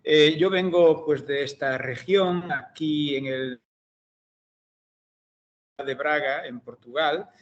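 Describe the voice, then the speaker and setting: A middle-aged man from Galicia with a Galician Spanish accent, giving an online seminar as guest lecturer in a measured, hesitant way with frequent fillers and restarts. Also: fairly high pitch, steady tone, muffled recording. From Galicia, Spain. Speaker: guest lecturer, optometry researcher. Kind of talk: academic seminar talk.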